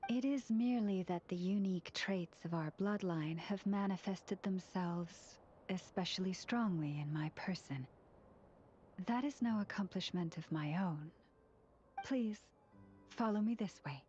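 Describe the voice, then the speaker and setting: A woman playing a serene, solemn priestess in a fantasy game, speaking formally in a measured, hushed storytelling manner. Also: fairly low pitch, a little hiss or hum, natural voice. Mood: solemn, gentle. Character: serene, solemn priestess leader of a moon-worshipping clan. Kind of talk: scripted fantasy game dialogue. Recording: muffled game audio under music.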